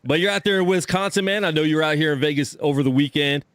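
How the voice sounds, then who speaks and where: Laid-back male host, light laughs, slightly higher voice, dry close mic. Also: quick pace, no echo. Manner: easygoing, laughs often. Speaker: show host. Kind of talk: casual sports show interview.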